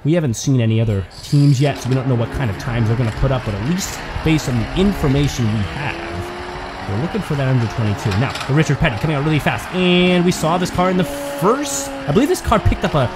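A man giving play-by-play commentary on a toy car race, building excitement at close finishes. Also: medium pitch, a little fast, noisy background.

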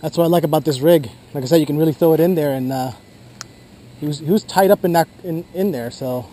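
A young man vlogging while fishing from a boat outdoors, talking casually with lots of filler words, getting excited when he hooks a fish. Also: a little high, fast talker, a little hiss or hum. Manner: excitable, laughing, many "uh" fillers. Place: outdoors on a small boat on a river.

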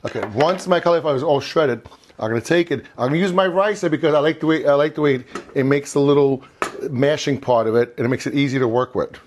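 A man's voice: on the high side, fast talker, darkish tone, a little hiss or hum. A man in his fifties hosting an informal cooking demonstration in a home kitchen, chatty and often repeating and rephrasing himself, on a lapel mic. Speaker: cooking show host.

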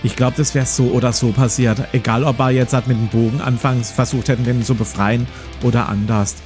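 A man's voice: medium pitch, steady tone, brisk, darkish tone. A man in his forties hosting a let's play, reading a gamebook aloud and commenting casually. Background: plucked guitar music.

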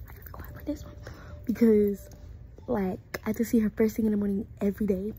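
Young female vlogger, American, dark soft-edged tone, casual slangy delivery, handheld phone sound with slight room noise. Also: mid-range voice, rough recording. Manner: informal, slangy, halting, drops to hushed asides. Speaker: vlogger making prank calls. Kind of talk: casual chat to camera. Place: small indoor room. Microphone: phone held at arm's length.

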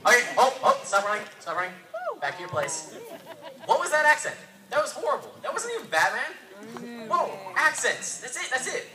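A man plays an exasperated, commanding director in a live comedy skit. His pitch jumps around as he stammers and repeats orders. The sound is distant and echoey, with crowd noise behind him. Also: big pitch swings, brisk. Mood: exasperated, bossy. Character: bossy, excitable, exasperated male director running rehearsals. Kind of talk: improv-style sketch acting. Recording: echoey noisy room, distant mic, audience.

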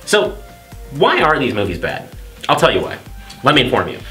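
A man's voice: medium pitch, wildly swooping pitch, normal speed, a bit bright, soft background music. A man in his thirties chatting casually and with strong opinions as co-host of a video game show.

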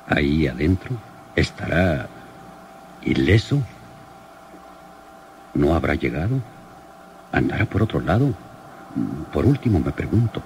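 An actor playing a shrewd police lieutenant in an old radio serial, deliberate, questioning and used to giving orders. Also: male voice, a bass voice, heavily acted. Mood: worried. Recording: noisy old radio drama recording.

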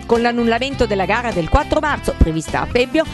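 A woman narrating a sports news report in an even, formal reading voice. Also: fairly high pitch, fast talker. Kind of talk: scripted sports news voice-over. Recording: voice-over over loud music.

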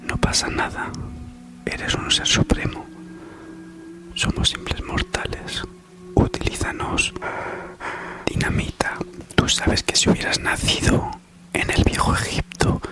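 Whispering Spanish man doing ASMR. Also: very high-pitched. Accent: Spain. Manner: slow soothing delivery with mock-reassuring teasing. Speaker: ASMR parody YouTuber. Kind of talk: whispered ASMR comedy monologue. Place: small living room at home, on a sofa.

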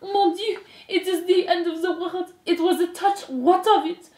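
A woman's voice: very high-pitched, fairly even intonation, measured pace, darkish tone, roomy sound, voice pushed up. A woman voicing a melodramatic mother mouse, as in a storybook. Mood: dramatic despair.

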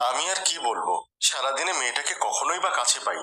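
A man's voice: mid-range voice, very animated, fast, bright, forceful tone, reverberant. A man voicing a resigned middle-aged father in a cartoon.

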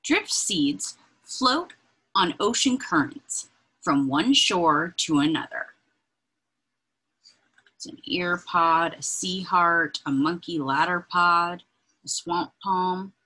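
A woman reading a children's picture book aloud in an expressive, deliberate, breathy way, adding informal asides as she points things out. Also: on the low side, rough recording. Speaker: read-aloud reader. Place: indoors, small room with bookshelves.

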